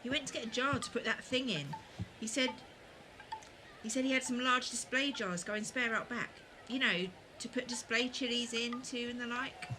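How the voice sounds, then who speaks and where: A woman playing a caring, anxious companion in a fan audio drama, gentle and hesitant. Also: a little high, fairly even intonation, normal speed, background noise, natural voice.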